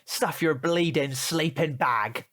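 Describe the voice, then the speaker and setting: A man's voice: on the high side, takes it slowly, a bit bright. A British man playing a cheeky, aggrieved teenage boy in a comic audio drama, indignant, slangy and wheedling. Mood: angry, swearing. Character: cheeky, aggrieved, foul-mouthed male teenage tearaway.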